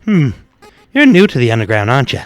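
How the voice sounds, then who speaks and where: An actor playing a small talking flower in a fan drama, with a high, grating voice and a folksy, falsely cheerful manner that hides something sinister. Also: wildly swooping pitch, fast. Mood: fake cheerful. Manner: folksy, chirpy, mock-friendly. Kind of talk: animated fan fiction character acting. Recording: music behind the voice.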